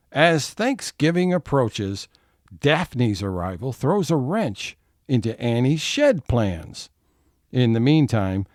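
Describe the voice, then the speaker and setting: A man's voice: mid-range voice, no room sound, clean studio sound. A man reading scripted narration that introduces an audio drama episode and its scenes.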